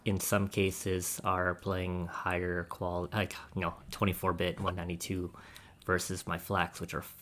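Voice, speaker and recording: A man on a podcast panel giving a casual technical explanation, measured and hesitant as he goes. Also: low-pitched, completely flat delivery, fairly bright tone.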